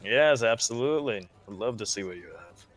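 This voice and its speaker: A man's voice: medium pitch, expressive, a little fast. A young man playing a tabletop game, talking in an easygoing, laid-back way. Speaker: player. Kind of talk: casual in-character play. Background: soft music.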